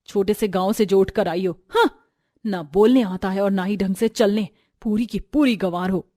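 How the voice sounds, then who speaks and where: A woman voicing a haughty, sharp-tongued young city daughter-in-law in a family story, snapping scornfully and mixing in English words. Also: medium pitch, normal speed, no room sound, clean studio sound, natural voice. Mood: scornful, bossy. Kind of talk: Hindi family storybook voice acting.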